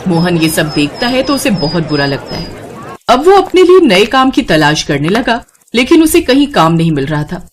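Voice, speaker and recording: A woman narrating a scripted children's moral story in an expressive storybook style. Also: lowish voice, moderate pace, a little hiss or hum.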